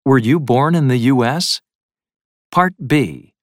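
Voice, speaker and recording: Guy reading textbook audio instructions, slow and deliberate, lively pitch, dry studio. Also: no room sound. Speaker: lesson narrator. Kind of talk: scripted instructions.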